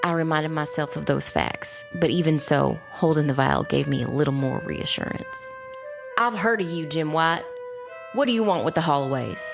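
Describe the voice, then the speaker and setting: A woman playing a wary, resolute young witch who narrates a dark fantasy audio drama in a measured storytelling way. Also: low-pitched, gravelly. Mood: fearful, resolute, then defiant. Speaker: first-person narrator. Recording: music bed under the voice. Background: music.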